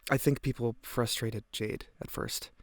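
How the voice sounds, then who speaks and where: A man's voice: mid-range voice, fairly even intonation, unhurried, dry recording, natural voice. A man acting a guilt-ridden, earnest teenage boy giving hesitant, reflective testimony in an audio drama. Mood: regretful, troubled. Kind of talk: audio drama monologue, police interview testimony.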